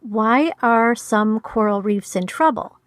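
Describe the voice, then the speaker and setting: A woman's voice: a little high, slow pace. A woman hosting a podcast, putting curious questions to her guest. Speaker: podcast host asking questions.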